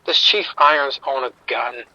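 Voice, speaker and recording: A man's voice: mid-range voice, normal speed, bright, forceful tone, no room sound, lo-fi sound. An actor playing a streetwise male bookie informant, wry and defensive, talking around the point in an audio drama.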